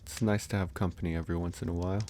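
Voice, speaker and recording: A man playing a gentle, reassuring young werewolf who lives alone on a mountain, soft-spoken in an ASMR roleplay. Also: low voice, steady tone, rapid-fire, a little hiss or hum, lightly acted. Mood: relaxed, friendly.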